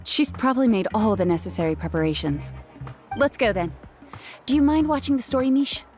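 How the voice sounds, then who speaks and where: Woman acting a high-voiced, spirited young villager. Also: lively intonation, fairly quick, darkish tone, soft background music, close to an everyday voice. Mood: friendly, upbeat. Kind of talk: English video game dub acting.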